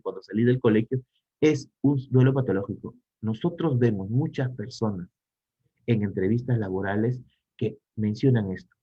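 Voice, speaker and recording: Brief: Peruvian male psychologist, mid-pitched, didactic lecturer asking rhetorical questions, slightly muffled audio. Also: slightly rough. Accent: Peruvian Spanish. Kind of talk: online conference talk.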